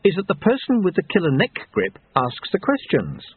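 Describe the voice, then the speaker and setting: A man's voice: on the high side, huge pitch swings, normal speed, husky, pitched above the performer's own voice, heavily acted. A man voicing a stern, commanding young woman with formal, precise, withering speech in an audiobook. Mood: cool, firm. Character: stern, brisk, slightly built young woman, severe and commanding. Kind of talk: audiobook character voice. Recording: narrow tinny phone-like sound.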